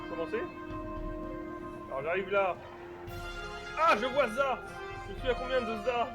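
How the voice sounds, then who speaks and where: Male player, high voice, chatty and joking, clear music bed behind him. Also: lively intonation, unhurried. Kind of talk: casual play chat.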